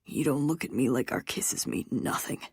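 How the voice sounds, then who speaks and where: A woman reading aloud, voicing a persistent young man who is the love interest, in a low husky voice. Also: normal speed, no room sound, studio quality. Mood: intense.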